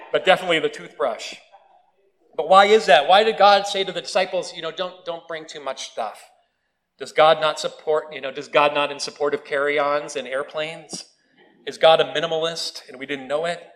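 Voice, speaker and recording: A man's voice: a little high, poor audio. A man preaching a sermon in a church, telling stories with touches of humor. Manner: storytelling with humor, animated quoted voices.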